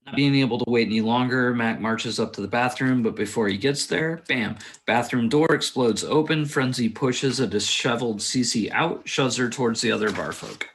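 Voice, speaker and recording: A man's voice: medium pitch, normal speed, few pauses, fairly bright tone. A man narrating stage directions from a script at a table read.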